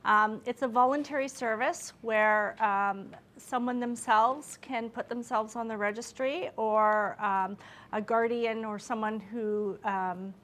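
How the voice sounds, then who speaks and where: A woman police officer answering a question at a conference, speaking loudly and confidently and explaining things plainly. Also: on the high side, steady tone, takes it slowly.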